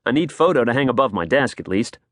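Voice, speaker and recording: Lively American male audiobook narrator. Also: mid-range voice, quick pace, dry recording, clean studio sound. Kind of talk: scripted nonfiction audiobook narration. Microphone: close studio microphone.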